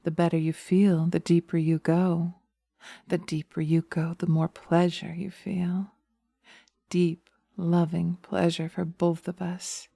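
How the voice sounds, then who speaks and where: Slow, sultry, hushed witch played by a woman. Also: lowish voice, level tone, gravelly, no echo, studio quality, full character voice. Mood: seductive, commanding. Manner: sultry, slow, hushed, often dropping to a whisper. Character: seductive, taunting witches scorned, dominant and vengeful women. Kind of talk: slow hypnosis roleplay, ASMR. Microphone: close binaural microphone.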